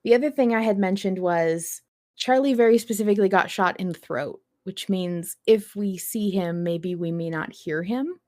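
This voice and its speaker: A woman's voice: mid-range voice, normal speed, a little mellow. A woman with a mid-range voice hosts a fan discussion show. She talks informally, as in a casual chat between co-hosts. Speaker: podcast host.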